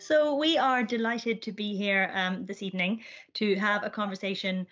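A woman's voice: medium pitch, steady tone, moderate pace, a bit bright, soft background music. A young woman hosting a discussion, giving a prepared introduction over a video call. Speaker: discussion host. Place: indoor room, video call. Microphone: video call microphone. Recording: dull, lo-fi video call audio.

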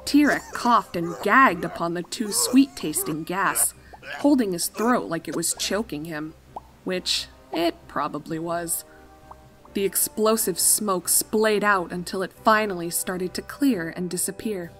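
A woman's voice: on the low side, measured pace, fairly bright tone, low-quality recording. A woman reading scripted fan-fiction story narration as the narrator. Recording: music under the voice.

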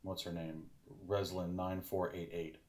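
An actor playing a cold, suspicious superior with formal, disdainful speech in an audio drama. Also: male voice, low voice, little pitch movement, measured pace, a little mellow.

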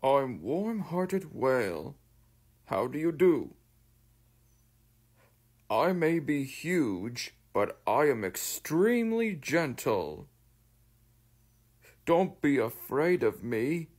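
Guy doing a big gentle cartoon whale, super slow, bit husky, earnest. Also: mid-range voice, sing-song, exaggerated voice. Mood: gentle, pleading. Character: huge, gentle, lonely whale eager for friends. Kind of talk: scripted character voice lines.

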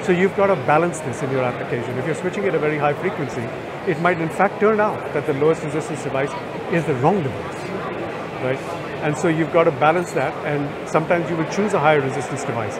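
A man's voice: a little high, fast. A man in his fifties at a trade show booth, explaining technical trade-offs in an interview. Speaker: company representative. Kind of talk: interview answer. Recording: loud trade show noise behind.

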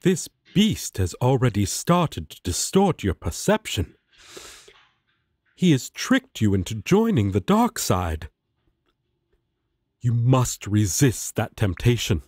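A man's voice: mid-range voice, huge pitch swings, slow pace, long pauses, darkish tone, no echo, clean studio sound, exaggerated voice. A man voicing a righteous, commanding angel warrior in a fantasy audio roleplay, with archaic, preachy and self-important speech.

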